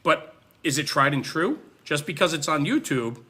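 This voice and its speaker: A man's voice: a little high, animated, moderate pace, pushed and bright, slightly rough. A man interviewing in a casual discussion as a fellow firefighter, making his points emphatically.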